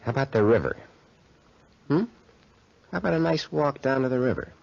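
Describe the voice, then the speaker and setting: A man's voice: mid-range voice, animated, a little fast, creaky, darkish tone. An actor playing a cynical, callous young schemer in a 1940s radio drama, with slangy American tough-guy talk. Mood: scornful. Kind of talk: 1940s radio drama acting with first-person narration. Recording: muffled, band-limited 1940s radio recording.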